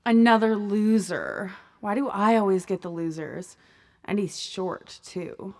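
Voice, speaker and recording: A woman's voice: mid-range voice, animated, a little slow, darkish tone. A woman playing a cool, curt, jaded temptress on a date, in a radio drama.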